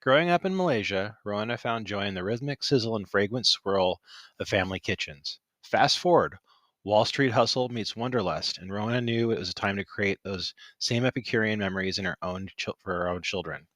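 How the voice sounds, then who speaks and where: A man's voice: lowish voice, a little fast, fairly bright tone, dry recording. Punchy older male host reading an intro. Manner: upbeat, punchy delivery. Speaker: podcast host. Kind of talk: scripted show intro. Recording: very dry close recording.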